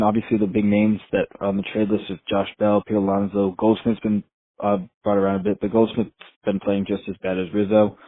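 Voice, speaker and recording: A man's voice: fairly low pitch, dry recording. A man leaving a casual voicemail as a sports fan, heard over a tinny phone line. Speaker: voicemail caller.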